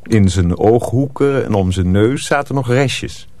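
A man narrating a radio drama in a measured, scripted storytelling manner. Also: mid-range voice, dark tone.

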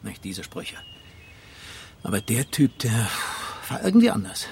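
An actor playing a smug, evasive banker in a German radio crime drama, with a glib, condescending manner. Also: male voice, lowish voice, huge pitch swings, a little slow, darkish tone, heavily acted. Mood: gossipy, excited. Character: smooth-talking, evasive, snobbish male banker. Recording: clear background noise.

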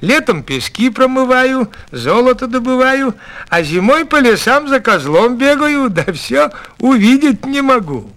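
Kindly, playful old village huntsman for a fairy tale , acted by a man; folksy, unhurried, very animated pitch. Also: heavily acted. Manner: folksy, plain-spoken, playful. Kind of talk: storybook fairy tale reading.

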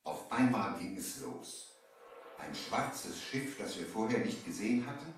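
Merchant storyteller in heavy echo. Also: male voice, lowish voice, very animated, unhurried. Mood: narrating, suspenseful. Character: young male merchant narrating his sea adventure. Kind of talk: dramatic scripted storytelling in 1970s radio play. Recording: very echoey, slightly distant vinyl transfer.

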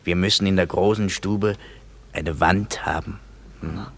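A fussy husky rehearsal leader. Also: male voice, deep voice, fairly even intonation, unhurried, full character voice. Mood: organizing. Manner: agreeable, repeats "ja". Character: fussy male craftsman directing rehearsal. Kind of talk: German radio play acting.

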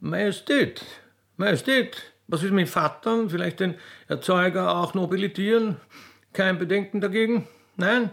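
A man acts out a fussy old Austrian court secretary in a radio play. He dithers and mutters to himself in a raised, creaky voice, muddled and hesitant. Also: high voice, moderate pace, a bit bright, voice pushed up. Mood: timidly asking. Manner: hesitant muttering, spelling out as he writes.

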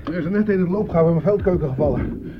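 A man's voice: on the high side, quick pace, lightly acted. Man acting a grumbling old soldier, tinny recording. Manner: grumbling, colloquial, sardonic. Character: grumbling, sardonic old warhorse soldier and field cook. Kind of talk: radio play acting. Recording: muffled narrow-band old radio recording, faint noise.

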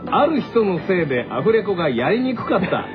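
Male radio host, quick and slightly high, lively banter over a music bed, dullish recording. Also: lo-fi sound. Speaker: radio show host asking quiz questions. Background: background music.

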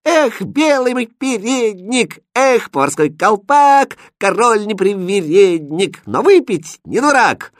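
Impudent young kitchen lad for a storybook, high-pitched, mischievous and lilting a man performing. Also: huge pitch swings, normal speed, no echo, studio quality, exaggerated voice. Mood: gleeful singing. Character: cheeky young boy kitchen helpers, mischievous, mocking.

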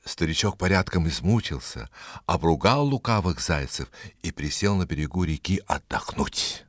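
Low male narrator reading a kids tale, very lively intonation, dry studio sound. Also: moderate pace, no room sound. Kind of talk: expressive children's story narration.

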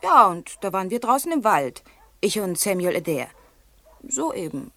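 A woman's voice: medium pitch, big pitch swings, moderate pace, pushed and bright, no room sound, exaggerated voice. An actress playing a naive, candid country girl of about nineteen in a German radio play, blunt and slightly defensive. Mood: artless, confiding.

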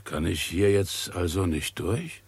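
A slow-witted local fellow in a sixties radio drama. His voice is low and gravelly, and he answers hesitantly, as if puzzled. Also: expressive, no room sound, heavily acted. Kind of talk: 1960s German radio play acting.

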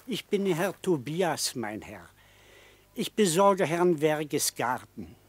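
An actor playing a servile gardener in a radio play, raspy and drawn-out in his obsequious politeness. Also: fairly high pitch, sing-song, dry recording, exaggerated voice. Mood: wheedling, servile. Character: servile, wheedling gardener angling for a tip. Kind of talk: German-language radio play acting.

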